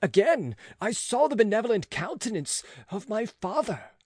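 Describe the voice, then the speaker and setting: A man reads a gothic novel dramatically. His high voice has a husky edge and moves slowly, with theatrical pauses and emphasis. The sound is dry. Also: big pitch swings, no echo. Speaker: audiobook narrator. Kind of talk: dramatic gothic audiobook narration.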